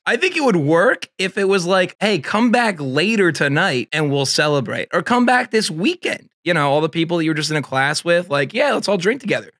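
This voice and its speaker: Young dude reacting on stream, sarcastic, cussing, pitch jumps all over, close mic. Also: male voice, a bit bright, dry recording. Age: 20s. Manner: sarcastic, exclamatory, profane. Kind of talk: casual livestream reaction commentary. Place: small indoor streaming room. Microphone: desk microphone, close to speaker.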